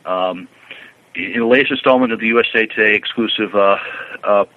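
A man co-hosting a podcast, reading news and commenting in a halting, informal way. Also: fairly low pitch. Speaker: podcast co-host. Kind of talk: reading news aloud and casual chat. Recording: dull, lo-fi, radio-like sound.

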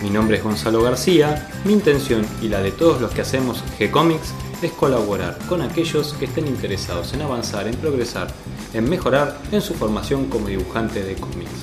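A man's voice: mid-range voice, animated, a little fast. A man with an Argentine accent presenting a podcast and chatting as its host. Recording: roomy echo, faint music behind.

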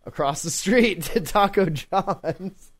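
A man's voice: high-pitched, lively intonation. Laughing, joking man hosting a tech show. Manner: jokey, laughs often, quick asides. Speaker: podcast host. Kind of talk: casual tech podcast chat.

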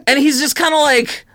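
A woman's voice: sits high, a bit bright. A woman co-hosting a podcast in casual chat, talking in an informal, slangy way. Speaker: podcast co-host.

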